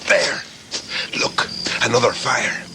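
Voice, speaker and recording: A man's voice: mid-range voice, fairly even intonation, takes it slowly, heavy background noise, close to an everyday voice. A man playing a terse, matter-of-fact night hunter in a sci-fi audio drama. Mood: grim, eager.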